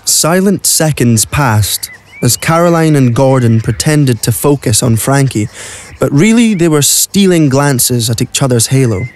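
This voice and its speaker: A man's voice: medium pitch, expressive, a little slow, a little mellow, lightly acted. A man narrating a scripted audio drama as the storyteller.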